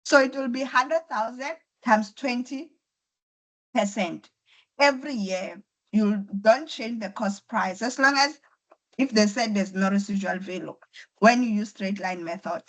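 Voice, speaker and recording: A woman, her voice roughened by flu, leads an online accounting class. She works through each point patiently, step by step, and repeats key figures. Her pitch sits at an ordinary middle height, recorded through a computer mic on a video call. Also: unhurried, a bit bright, low-quality recording. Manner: patient, step-by-step explaining with repetition. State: voice affected by flu. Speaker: accounting tutor. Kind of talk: online lecture.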